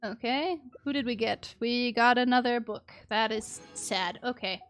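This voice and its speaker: A woman's voice: on the high side, unhurried, a bit bright. A woman livestreaming a game in casual chat, playful and prone to muttering little sound words to herself. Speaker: game streamer. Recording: music playing behind the voice. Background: music.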